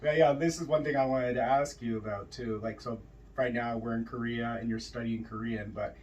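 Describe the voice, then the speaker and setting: A man's voice: mid-range voice, steady tone, brisk, far from the mic, low-quality recording. A man hosting a casual podcast interview at a friend's home, chatty and joking, with lots of fillers. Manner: loud, jokey, filler-heavy with many yeahs. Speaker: podcast host.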